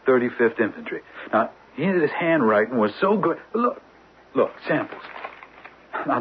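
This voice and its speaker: An actor playing a dogged, hot-headed, vengeful young detective in a radio drama, speaking bluntly and folksily with small stammers. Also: male voice, mid-range voice, husky. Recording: muffled old radio broadcast.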